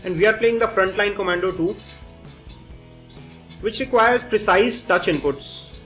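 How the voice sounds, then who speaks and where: A man's voice: sits high, measured pace, bright, forceful tone, soft background music. A man giving a methodical, explanatory phone review commentary while demonstrating. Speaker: tech reviewer, host.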